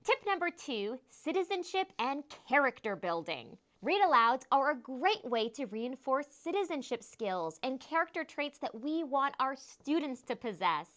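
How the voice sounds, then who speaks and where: A woman with a somewhat high voice hosts an instructional piece as a teacher. Her delivery is scripted and clearly enunciated, with emphasis placed on the key phrases. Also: very animated, normal speed, few pauses, fairly bright tone, slight background noise. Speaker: teacher and host of classroom tips show.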